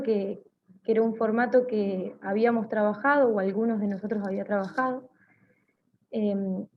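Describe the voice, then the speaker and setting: A woman with an Argentine accent speaking hesitantly, with frequent pauses, in an informal online talk over lo-fi call audio. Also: a little high. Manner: hesitant, pausing often. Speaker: theatre group member giving a talk.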